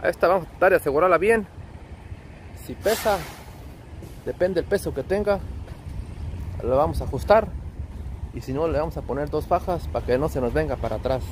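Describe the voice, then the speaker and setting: A man's voice: fairly high pitch, brisk, pauses often. A man with a Mexican accent narrating a casual trucking vlog, chummy and slangy, talking to viewers as buddies. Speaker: truck driver and vlogger. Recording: clear outdoor wind and vehicle noise.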